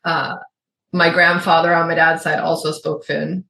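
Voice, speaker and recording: Co-host, middle-aged woman, faint Yooper accent, lowish voice, chatty and rambling, roomy echo. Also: level tone, normal speed, creaky, pushed and bright. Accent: Yooper, suppressed. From Upper Peninsula of Michigan. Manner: chatty, rambling with restarts and asides. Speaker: podcast co-host. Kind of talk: casual chat.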